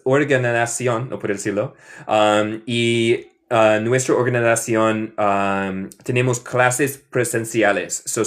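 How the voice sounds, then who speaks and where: An American man from Michigan, an English teacher, chatting casually on a livestream in halting Spanish with many fillers and switches into English. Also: mid-range voice. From Michigan, USA.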